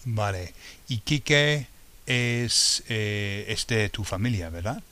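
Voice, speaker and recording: Slow, low-voiced man hosting a podcast. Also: sharp tone. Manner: hesitant, with many fillers and encouraging interjections. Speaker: podcast host and interviewer. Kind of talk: podcast presenting and interviewing.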